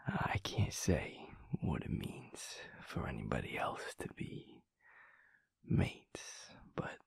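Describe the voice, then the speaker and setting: A man playing a tender, earnest werewolf boyfriend in an intimate audio roleplay, speaking softly and haltingly with a breathy, gravelly voice. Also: low-pitched, wildly swooping pitch, no echo, whispered, exaggerated voice. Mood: devoted, heartfelt. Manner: hushed, halting, heartfelt, soft-spoken.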